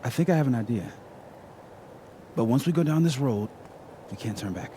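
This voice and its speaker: A man playing an earnest, cautious young friend in a video game, hesitant and gently wry. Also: medium pitch, expressive, normal speed, a little hiss or hum, close to an everyday voice. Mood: conspiratorial. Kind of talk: naturalistic video game acting.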